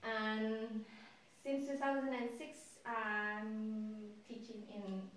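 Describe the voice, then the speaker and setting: A woman's voice: a little high, level tone, extremely slow. A woman in her thirties from Indonesia with an Indonesian accent, an English teacher answering interview questions with many hesitations. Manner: hesitant, many ums and uhs, laughs lightly. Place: classroom with whiteboard, indoors. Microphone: far camera mic. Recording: echoey classroom from far off.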